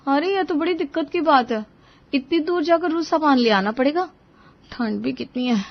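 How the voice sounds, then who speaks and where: A woman's voice: high-pitched, moderate pace, dull audio, pitched above the performer's own voice. A woman playing a tired young wife and mother in a cartoon story, conversational and mildly complaining. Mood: weary. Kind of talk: Hindi moral-story cartoon dubbing.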